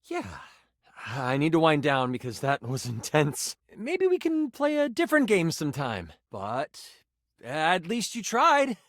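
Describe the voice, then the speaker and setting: Animated, nerdy, flustered game master. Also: male voice, sits high, dry recording, studio quality, exaggerated voice. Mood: flustered, earnest, then gently disappointed. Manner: stammering, eager, gushing, self-conscious. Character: nerdy, earnest, easily flustered tabletop dice game master. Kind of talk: expressive video game voice acting.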